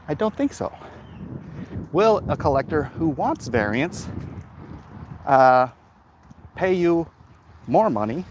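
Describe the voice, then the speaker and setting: A man chats casually and bluntly, rambling as he answers comments while exerting himself on a walk, clearing his throat now and then. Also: mid-range voice. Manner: animated, blunt, opinionated. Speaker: livestream host answering viewer comments. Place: outdoors, walking along streets. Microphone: phone held in hand at arm's length. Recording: faint outdoor street and traffic noise.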